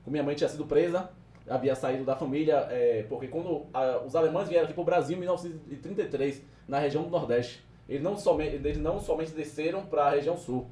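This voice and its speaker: A man with a Bahian accent, a podcast guest who tells his story in a mellow, somewhat high voice at a quick pace. He sits a little away from the mic in a roomy space that echoes. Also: far from the mic. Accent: Bahian Portuguese. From Salvador, Brazil. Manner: measured, searching for where to begin.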